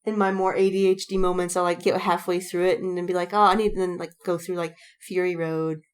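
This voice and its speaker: A woman's voice: on the low side, little pitch movement, quick pace, slight background noise. A woman co-hosting a podcast in casual chat, animatedly retelling things and quoting her own reactions. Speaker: podcast co-host. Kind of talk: casual chat about films and fandom.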